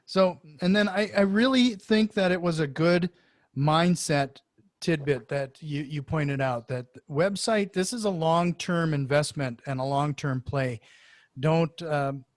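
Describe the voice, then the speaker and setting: A man with a somewhat high-pitched voice hosts a conversational interview show. He talks in a wordy, halting manner, repeating words as he goes, and the sound is close and dry. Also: no echo. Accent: Norwegian-influenced.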